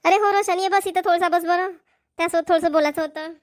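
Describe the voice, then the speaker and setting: Lady doing a plain-spoken village mother for a cartoon, high-pitched, varhadi marathi accent. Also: steady tone, quick pace, dry recording. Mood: homely. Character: homely middle-aged village mother. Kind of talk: cartoon family comedy voice acting.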